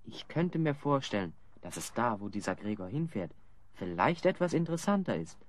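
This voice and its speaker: A man's voice: on the low side, very animated, moderate pace. A man playing a good-natured, teasing, curious adventurer hero with a wry manner in a radio play.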